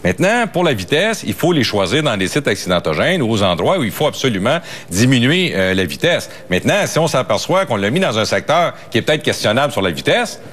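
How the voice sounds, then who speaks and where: A man's voice: medium pitch, big pitch swings, brisk, hardly any pauses, fairly bright tone. A man with a Quebec French accent giving emphatic, unscripted opinions as a police and legal affairs commentator, often repeating words as his thought builds.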